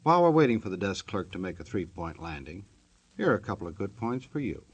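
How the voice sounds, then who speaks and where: Old time radio announcer guy, lowish voice, jokey scripted patter, kinda muffled old recording. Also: very animated, quick pace, breathy edge. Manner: jokey, tongue-in-cheek.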